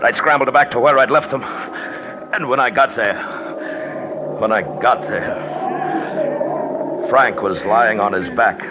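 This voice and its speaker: An actor playing a haunted, determined expedition survivor in an old radio suspense drama, speaking in short, tense phrases with dramatic pauses. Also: male voice, mid-range voice, highs cut off, natural voice. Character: male mountain expedition survivor, haunted, stubbornly determined narrator. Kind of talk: old-time radio drama, first-person suspense narration. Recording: noisy old radio recording.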